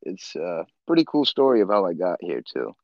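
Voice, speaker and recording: Podcast guest, man from Nepal, deep voice, easygoing, laughs as he talks, dry lo-fi recording. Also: sing-song, moderate pace, creaky, a little mellow, no echo. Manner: laid-back, laughs often, trails off with "uh" and "you know". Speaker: guest, swimbait builder. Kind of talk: casual storytelling interview.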